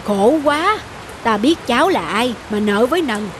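An actor playing a gruff, curt, middle-aged bicycle repairman in a cartoon. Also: extremely high pitch, lively intonation, measured pace, fairly bright tone, a little hiss or hum, heavily acted. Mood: grumpy. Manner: curt, grumbling. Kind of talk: cartoon dialogue.

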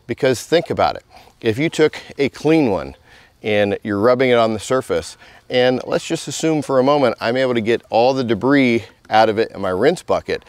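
A man's voice: medium pitch, darkish tone, no room sound. A middle-aged man giving a casual, conversational hands-on tutorial as a car detailer, speaking outdoors on a close mic. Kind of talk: instructional demonstration, conversational. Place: outdoors in a residential driveway.

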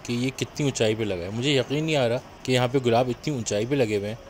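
A man from Pakistan hosts a travel vlog, pointing things out to his viewers in an easy, chatty way. His voice sits at an ordinary mid pitch. It is a handheld phone recording made outdoors, with clear traffic and outdoor noise behind him. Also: fast. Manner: chatty guide talking to viewers while walking. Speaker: travel vlogger. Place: outdoors, on a bus and by a roadside cafe.

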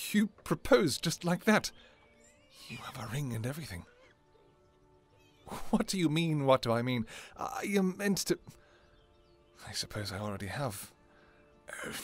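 A man's voice: medium pitch, sing-song, a little slow, pauses often. A man acts out a royal vampire lover from a fantasy audio roleplay. He is tender and possessive, speaking in formal, old-fashioned, intimate phrases. Music plays behind his voice. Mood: flustered, teasing.

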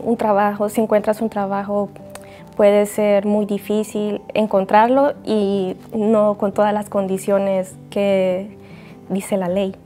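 A woman's voice: mid-range voice, dark tone. A woman in her thirties from El Salvador, speaking earnestly and thoughtfully about her experience as a migrant. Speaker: interviewee. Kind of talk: reflective testimony. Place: bright indoor hall.

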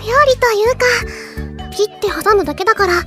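A woman's voice: very high-pitched, animated, fairly quick, over music. An actress playing a shy, modest, sweet young mage girl in a Japanese anime audio drama, polite and hesitant. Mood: sweet, bashful.

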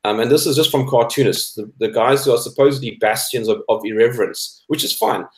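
A man's voice: mid-range voice, fairly quick. A South African man in his thirties giving opinions in a debate chat, emphatic and repeating phrases for stress. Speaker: guest, cartoonist. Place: indoor room, video call. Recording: echoey room over video call.

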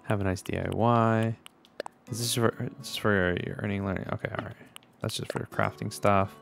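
Gravelly low-voiced gaming host. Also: male voice, fairly even intonation, normal speed, soft background music. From Puerto Rico. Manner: laid-back, chatty, laughs often, talks to viewers. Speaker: let's play host. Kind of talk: casual gaming commentary. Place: home gaming room, indoors. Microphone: desk microphone with webcam.

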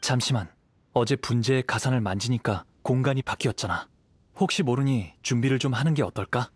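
Man as a young game swordsman, mid-pitched husky voice, reflective, gentle, composed. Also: a little fast, no room sound, natural voice. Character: calm, thoughtful young wandering swordsman. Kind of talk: video game voice acting.

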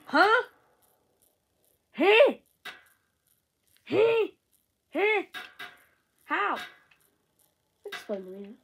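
A woman's voice: very high voice, sing-song, gravelly, a bit bright. A woman with a sore throat, casually commenting on her game from a bedroom and rambling to herself. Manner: slow, rambling, talks to self. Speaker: gamer.

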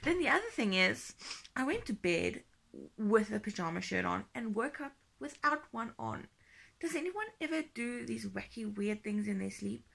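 A young woman chats into a phone held close as she lies in bed. Her slightly high voice comes out gravelly and croaky with tiredness, and she talks in a drowsy half-whisper. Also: lively intonation, moderate pace, a bit bright. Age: 20s. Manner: sleepy, half-whispered chatter. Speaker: vlogger. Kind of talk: casual vlog chat. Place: bedroom, lying in bed.

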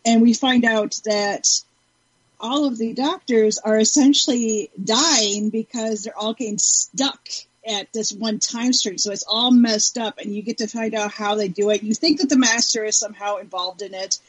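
A female podcast panelist chats casually. Her voice is a little high and noticeably husky. She is recorded very dry, with no room around her. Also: moderate pace, no room sound, poor audio.

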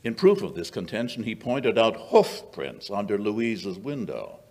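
An older man acting a shrewd, dry-witted gentleman detective in old-time radio style, with measured, theatrical storytelling. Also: fairly low pitch, big pitch swings, heavily acted. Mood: sardonic, grave. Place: small indoor stage before an audience. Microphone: vintage-style stand microphone.

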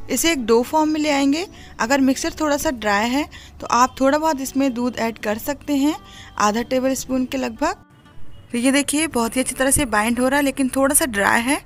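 A woman narrating a step-by-step recipe tutorial in an instructive, explanatory manner. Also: high-pitched. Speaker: home cooking host. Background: music.

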